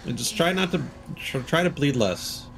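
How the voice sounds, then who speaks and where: Male game streamer, casual and sweary, very varied intonation with a creaky edge. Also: on the high side, lively intonation, moderate pace, poor audio. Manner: sweary, reactive, thinking aloud. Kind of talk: casual live gameplay commentary.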